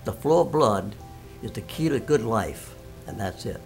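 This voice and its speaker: A man's voice: fairly low pitch, expressive, a little slow, creaky, faint music behind. A man in his nineties giving an unscripted patient testimonial, plain-spoken, enthusiastic and emphatic. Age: 90s.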